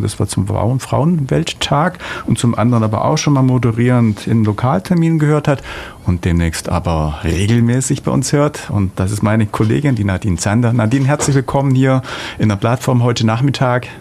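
A man hosting a studio radio interview, speaking haltingly in long, winding sentences. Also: medium pitch, creaky, darkish tone, a little hiss or hum. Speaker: radio host. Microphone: studio microphone.

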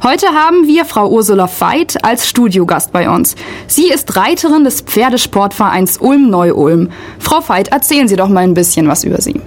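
A woman's voice: mid-range voice, lively intonation, normal speed. A woman hosting a radio program, introducing her studio guest and asking friendly, prompting questions. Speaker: radio show host. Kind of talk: radio interview.

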